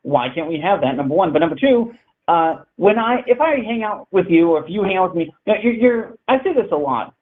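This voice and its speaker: A man in his thirties argues politics over a video call, blunt and prone to repeating himself. His voice sits a little high. The call audio comes through thin and phone-like. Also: expressive, brisk. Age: 30s. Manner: blunt, repetitive, talks over the other speaker. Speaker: caller debating the host. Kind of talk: heated political debate.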